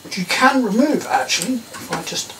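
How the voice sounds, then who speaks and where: A man's voice: high-pitched, expressive, normal speed. A middle-aged man with an English accent, thinking aloud casually and questioning himself as he works. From England. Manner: muttering to himself, self-questioning. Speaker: video creator tinkering with old electronics. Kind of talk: casual thinking-aloud commentary while working. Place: small tiled indoor workshop. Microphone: camera mic a little away. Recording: distant mic, noisy workbench clatter. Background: clear noise, clicks and clatter of tools.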